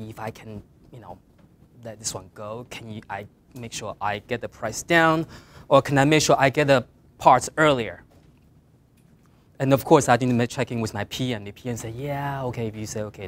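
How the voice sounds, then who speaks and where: Soft-spoken man with a Mandarin accent. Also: medium pitch. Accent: Mandarin Chinese. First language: Mandarin Chinese. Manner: earnest, plain advice with emphatic rises and trailing quiet endings. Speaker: guest speaker. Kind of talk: career talk to design students.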